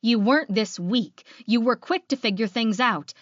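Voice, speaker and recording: A woman voicing an angry, proud female werewolf leader, sharp and confrontational, in an audiobook. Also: a little high, normal speed, no room sound, muffled recording, voice pushed up, natural voice. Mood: self-reproachful.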